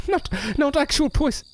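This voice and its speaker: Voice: sits high, measured pace, no room sound. A performer playing a bitter, boozy, self-important washed-up ventriloquist in an audio drama, theatrical and condescending. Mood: tipsy, amused.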